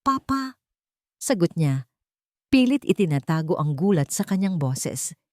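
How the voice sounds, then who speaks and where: A woman narrating a scripted romance story in a measured, dramatic way, phrase by phrase. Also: low-pitched, sing-song, mellow, no echo, clean studio sound.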